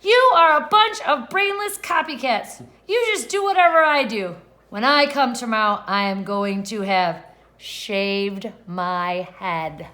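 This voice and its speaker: A woman's voice: fairly high pitch, slow pace, pushed and bright. A woman voicing a stubborn, defiant little schoolgirl from a storybook, declaring things firmly and emphatically. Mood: angry, scolding.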